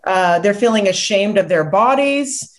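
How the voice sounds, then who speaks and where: A woman's voice: medium pitch, animated, a little slow. A middle-aged woman hosting a health podcast, introducing and questioning a guest over video call from her home office. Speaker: podcast host.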